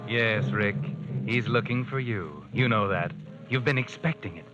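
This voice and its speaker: A man's voice: lowish voice, normal speed, close to an everyday voice. An actor playing a mysterious male narrator in an old radio drama, who tells the hero what he is feeling and doing. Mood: insinuating. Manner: insinuating, speaks to the hero as "you". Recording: dull old radio recording with music.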